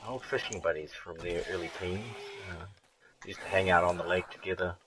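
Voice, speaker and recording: A man with a very low, deep voice streams a game live and keeps up a loose running commentary. He swears constantly, laughs a lot and drifts into rambling jokes, with a faint hiss of noise under the recording. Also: fairly even intonation, fairly quick. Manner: swears freely, laughs often, rambling jokey asides.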